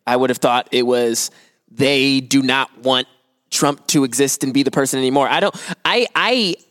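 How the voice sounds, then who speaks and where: A man's voice: medium pitch, moderate pace, fairly bright tone, no room sound, studio quality. A young adult man chatting casually as a show guest, with a wry, self-deprecating manner. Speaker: show guest, summer intern. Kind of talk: casual chat.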